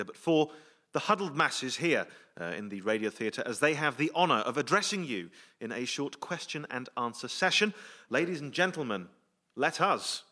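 A man hosting a live comedy radio show, brisk and jokey as he runs audience questions on stage. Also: mid-range voice, sharp tone. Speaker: host. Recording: live audience laughter and noise.

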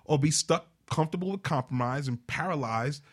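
Man, 40s, slightly high voice, reflective motivational guest. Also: brisk. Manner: reflective, leans on "right?" and "you know". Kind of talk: motivational talk.

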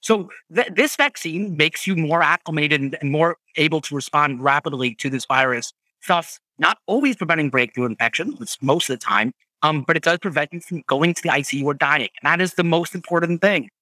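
A male physician answering questions on a video call, explaining in a patient, measured way and checking in with "right?" now and then. His voice sits a little high with a bright edge, recorded close and dry. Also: no echo, poor audio. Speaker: physician guest.

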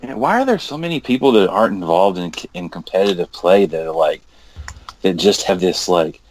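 A man's voice: deep voice, lively intonation, brisk, slight background noise, low-quality recording. A man chatting casually as a podcast panelist, emphatic and often repeating himself. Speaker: podcast panelist and hobby gamer. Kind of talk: casual chat.

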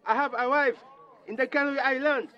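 A man playing an earnest young migrant son in an audio drama, pleading and halting over a phone line. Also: very high-pitched, steady tone, a faint crowd. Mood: urgent. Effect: phone line filter. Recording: thin bad phone line.

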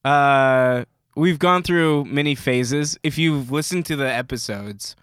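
A man's voice: mid-range voice, takes it slowly, a bit bright, clean tone, no echo, clean studio sound. A young man co-hosting a podcast, chatting casually, rambling with restarts and frequent laughs. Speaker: podcast co-host.

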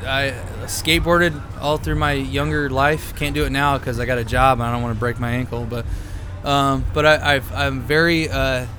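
A man's voice: medium pitch, steady tone, moderate pace, noisy background. A man with a nasal, youthful-sounding voice, chatting and telling stories in a rambling, stammering way as a podcast host. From West Coast US. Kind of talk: casual chat, storytelling.

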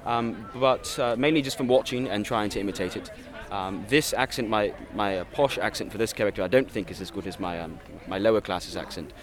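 A man voicing a posh British lord and naval captain for a reenactment, with put-on Received Pronunciation, careful enunciation and frequent hesitant pauses. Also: lowish voice, a crowd in the background, close to an everyday voice. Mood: earnest, explanatory.

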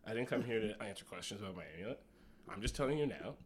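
A man's voice: lowish voice, expressive, rapid-fire. A young man in casual podcast banter, playfully boasting in a mock-grand way. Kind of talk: joking banter.

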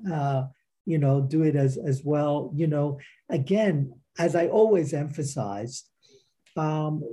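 A middle-aged man, a teacher and author being interviewed, explains his practice informally in halting, circling explanations. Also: fairly high pitch, mellow, slightly rough. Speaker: interview guest, meditation movement teacher and author.

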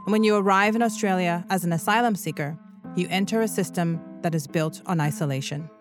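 A woman hosting a podcast, reading scripted documentary narration in a measured, earnest way. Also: lowish voice, music in the background.

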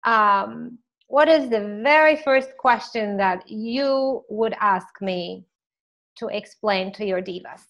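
A woman speaking as an expert guest on a podcast, explaining in a warm manner, her voice slightly scratchy, clearing her throat now and then. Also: mid-range voice, takes it slowly, muffled recording. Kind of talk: podcast interview.

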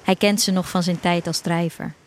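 A woman playing an earnest schoolgirl, proud of her fish farmer father, narrating a radio play in a measured storytelling way. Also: lowish voice, fairly even intonation, natural voice. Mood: calm, proud.

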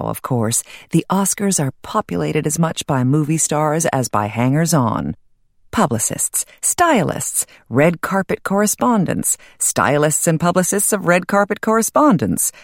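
Woman, low-pitched, audiobook narration, scripted nonfiction, measured, wry, crisply articulated, very dry room sound. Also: a little mellow, no echo.

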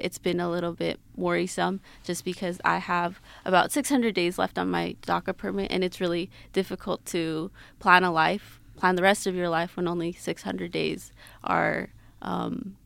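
A woman in her twenties with an American accent speaks as an interview guest. Her voice sits low and stays quite flat, with a gravelly fry at the edges. She explains things reflectively, in a personal way. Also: normal speed. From Mexico-born, grew up in Utah, United States. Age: 20s.

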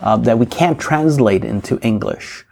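Husky man, Portuguese mother tongue. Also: medium pitch, unhurried, a little mellow. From Portuguese-speaking country. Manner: reflective, asks rhetorical "right?". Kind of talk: casual podcast discussion.

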